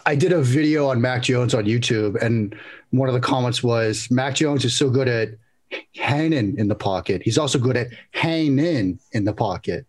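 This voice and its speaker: A man's voice: medium pitch, moderate pace. A man from New England with a Boston accent, a former quarterback chatting casually as a podcast guest and joking at his own expense. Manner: self-deprecating, joking.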